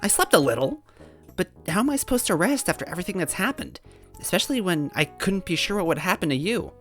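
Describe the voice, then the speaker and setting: A man's voice: sits high, very animated, fast, a bit bright, soft background music, pitched above the performer's own voice. A man voicing a fussy, scolding little female toad who is easily flustered, in a story reading. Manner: indignant, scolding.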